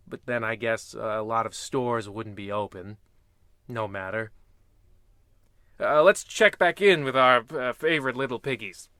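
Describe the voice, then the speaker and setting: A bright, forceful male voice of middle pitch, close and dry, acted by a man as a spooky radio narrator. He is pompous and ominous, with an awkward tongue-in-cheek edge. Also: clear, resonant tone, no echo, close to an everyday voice. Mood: sheepish, rambling. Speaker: narrator and host.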